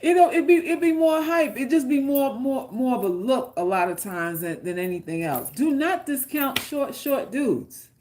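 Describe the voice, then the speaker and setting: Female livestream host, New York accent, brighter-pitched, blunt comic delivery. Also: a little hiss or hum. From New York, Queens. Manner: blunt, joking, swears freely, quick asides. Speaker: livestream host reading chat. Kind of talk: casual unscripted chat.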